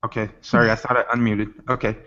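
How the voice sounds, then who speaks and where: A man hosting a casual interview on a video call, asking measured questions. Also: lowish voice, little pitch movement, pushed and bright. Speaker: host. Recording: dull web-call audio.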